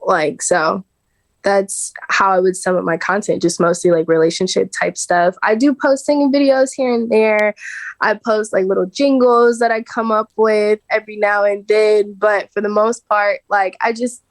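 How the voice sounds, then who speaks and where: A woman's voice: medium pitch, moderate pace, no room sound. A woman in her twenties, a podcast guest, chatting casually and laughing often. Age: 20s. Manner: relaxed, laughing, chatty with lots of fillers. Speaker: guest, social media creator. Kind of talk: casual chat.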